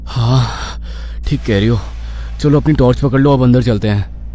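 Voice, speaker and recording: A man voicing an anxious, determined horror writer with earnest, dramatic delivery in an animated story. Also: medium pitch, normal speed, close to an everyday voice. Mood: concerned.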